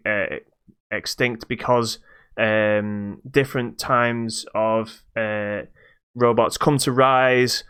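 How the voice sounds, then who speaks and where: A man co-hosting a podcast, reviewing games in casual chat with halting explanations that trail into dismissive asides. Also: mid-range voice, pushed and bright, clean tone. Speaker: podcast co-host.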